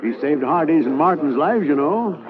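An actor playing a Mountie sergeant who reminisces slowly and proudly about his men, in a 1950s radio drama. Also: a little high, expressive, crowd noise, lightly acted. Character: adult male Mountie sergeant, proud and reflective. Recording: tinny old radio broadcast.

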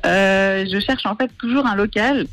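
A woman's voice: mid-range voice, measured pace, no room sound. A woman from Marseille, a guest on a radio show, talking about her project with many hesitations and fillers. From Marseille, France. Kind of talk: radio interview.

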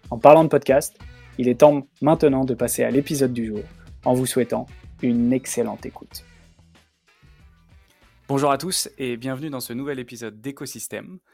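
A man hosts a podcast, his voice mid-pitched and mellow. He reads a scripted intro and then asks questions, often trailing off on fillers. Faint music plays behind him. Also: steady tone, normal speed, gravelly, pauses often. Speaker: podcast host.

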